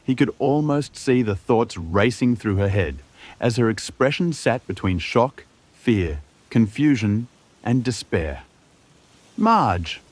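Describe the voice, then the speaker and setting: A man's voice: on the low side, lively intonation, measured pace, a little mellow, no room sound. A man reading scripted fantasy narration as a storyteller, dramatic and suspenseful.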